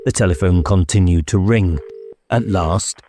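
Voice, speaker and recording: A man narrating a horror novel as an audiobook, in a measured, deliberate, suspenseful manner. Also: low-pitched, dark tone, a little hiss or hum. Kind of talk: scripted dramatic horror narration.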